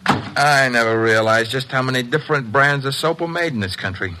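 An actor playing a cheeky, unflappable detective's assistant with a flippant, wry delivery in an old-time radio drama. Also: male voice, mid-range voice, a little fast, close to an everyday voice. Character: wisecracking private detective's legman, cheeky and unflappable. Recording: old radio recording with faint noise.

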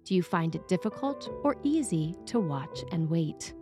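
A woman priest reading a scripted sermon in a measured, formal way. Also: lowish voice, sing-song, music in the background.

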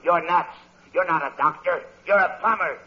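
An actor playing a nervous, easily alarmed American patient in a 1940s radio comedy, jittery and stammering. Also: male voice, high-pitched, moderate pace, lightly acted. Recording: muffled, noisy vintage radio recording.

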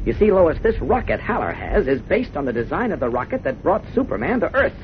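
An earnest, easily exasperated newspaperman in 1940s radio drama. He talks quickly in a fairly high, very animated voice. The sound is a dated, slightly noisy broadcast recording. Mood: urgent, alarmed. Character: earnest, urgent male newspaper reporter, secretly a superhero. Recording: dull, faintly noisy old radio recording.

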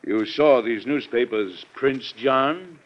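A man's voice: medium pitch, slow pace, close to an everyday voice. An actor playing a stern, weary President and exasperated father in an old-time radio drama, speaking formally and reproachfully. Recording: dull lo-fi vintage radio recording.

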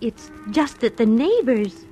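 A woman's voice: on the high side, sing-song, slow, dark tone, faint music behind. An actress playing a prim, fluttery, genteel lady employer in an old-time radio drama. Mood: scolding, fussy.

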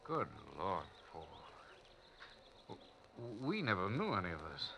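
A man's voice: fairly low pitch, very animated, slow pace, background noise. A man playing a sheepish young friend explaining an old prank, in a 1940s radio drama. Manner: folksy, explanatory.

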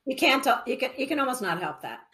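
A woman co-hosting a podcast, bubbly and quick to laugh. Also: high voice, big pitch swings, pushed and bright. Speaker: podcast co-host. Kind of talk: casual interview chat.

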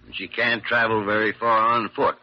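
A man playing a grave, concerned hospital doctor in a classic radio drama, speaking in a weary, sober, halting way. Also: lowish voice, flat delivery, close to an everyday voice. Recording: dull, muffled old radio recording.

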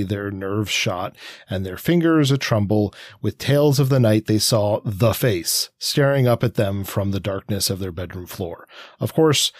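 A man co-hosting a podcast in a conversational, analytical way, halting and circling as he thinks aloud. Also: lowish voice, darkish tone, no echo. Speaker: podcast co-host. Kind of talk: conversational comics analysis, sometimes reading quotes.

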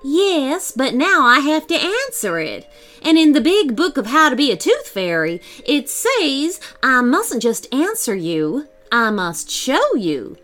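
Brisk, bossy tooth fairy in a storybook, high and bouncy, snappy and fussy, as a woman puts her on. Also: huge pitch swings, a bit bright, faint music behind, exaggerated voice. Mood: exasperated.

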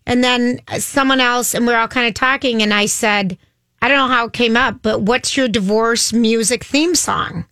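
A woman co-hosting a radio show, telling long rambling stories in a chatty, loud-voiced way. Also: on the high side, steady tone, moderate pace, no echo. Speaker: radio co-host. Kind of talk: casual radio chat. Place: radio studio.

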